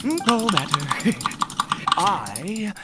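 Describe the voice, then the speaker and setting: An unhinged father from a video game, doting yet sinister and fervent. His pitch swoops widely and his theatrical speech comes slowly, in halting, stammered pieces. Loud keyboard clicking and noise run over the voice. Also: big pitch swings, exaggerated voice. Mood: gleeful, fervent. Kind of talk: dramatic video game voice acting.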